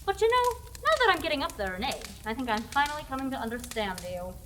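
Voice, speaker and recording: A young actress playing an eager, polite peasant widow getting on in years who hopes to become a knight. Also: female voice, high voice, very animated, fast talker, a bit bright, a little hiss or hum. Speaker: student actor in a radio play. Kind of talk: amateur radio play acting.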